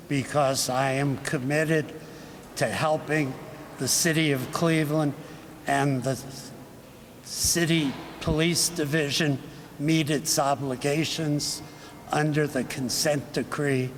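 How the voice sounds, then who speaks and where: An older man, a retired law professor, giving a formal statement as a new commission member. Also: medium pitch. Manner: slow and measured. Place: press conference hall. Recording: echoey hall with background noise.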